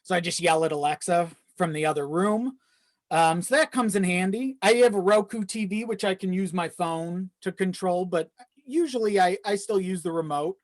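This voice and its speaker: A man's voice: high-pitched, normal speed, dry recording. Casual male podcast guest, dry close sound. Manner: self-deprecating; casual, profane. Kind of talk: casual chat.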